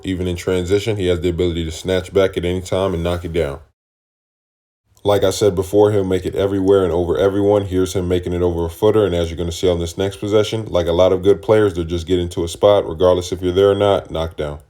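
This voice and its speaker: A man's voice: low-pitched, warm tone. A man reading scripted voice-over with coaching tips, instructive in tone. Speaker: basketball coach narrator.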